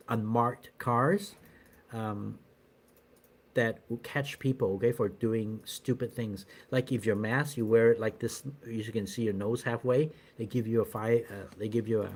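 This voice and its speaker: A man's voice: lowish voice, measured pace. A middle-aged man hosting a live stream, chatting casually with viewers in a rambling way, with many fillers and restarts. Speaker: channel host doing a live stream.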